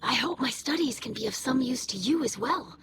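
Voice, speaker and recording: A woman's voice: sits high, lively intonation, moderate pace. A woman voicing a young alien scholar and tonic maker in a video game, speaking carefully and formally with earnest concern. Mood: earnest, hopeful. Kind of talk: video game character dialogue. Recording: game music behind the voice.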